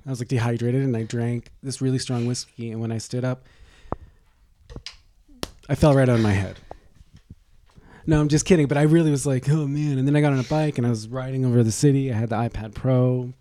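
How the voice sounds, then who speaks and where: A man's voice: medium pitch, fairly even intonation, fairly quick, long pauses, dark tone, a little hiss or hum. A man co-hosting a podcast, chatting casually in a teasing, rambling way with lots of restarts. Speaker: podcast co-host. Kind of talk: casual gossipy chat.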